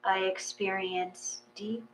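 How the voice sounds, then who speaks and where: A woman reading a guided meditation in a gentle, measured, calming way. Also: mid-range voice, poor audio. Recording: clear mains hum.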